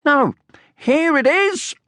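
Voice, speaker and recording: A man voicing a small, timid yet boastful young male creature in a children's storybook, whiny, excitable and self-important. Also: very high-pitched, takes it slowly, dry recording, clean studio sound, voice pushed up, exaggerated voice. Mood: excited, boastful. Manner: excitable, screechy, self-important muttering and squealing.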